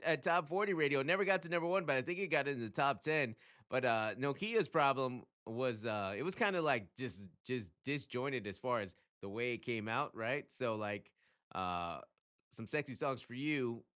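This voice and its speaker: Bright-voiced man in casual, animated commentary. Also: medium pitch, moderate pace. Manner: animated, chuckling, slangy. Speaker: radio programmer and music commentator. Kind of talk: casual solo commentary on music industry.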